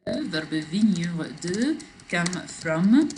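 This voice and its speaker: A woman teaching French in an online class, reading a text aloud and explaining it slowly, switching between French and English. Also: fairly low pitch, sing-song, a little mellow, a little hiss or hum. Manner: switches between French and English, slow, repeating phrases for learners. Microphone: computer mic in a video class.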